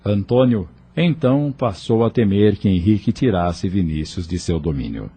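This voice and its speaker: Mellow, husky male storyteller. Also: on the low side, animated, normal speed. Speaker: narrator. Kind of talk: dramatic scripted audiobook narration.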